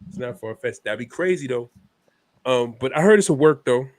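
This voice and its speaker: A man's voice: medium pitch, lively intonation, normal speed, no room sound. A man with a stuffy head cold hosting a laid-back wrestling talk show, tossing "man" into almost every line. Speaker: podcast host. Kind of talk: casual wrestling chat.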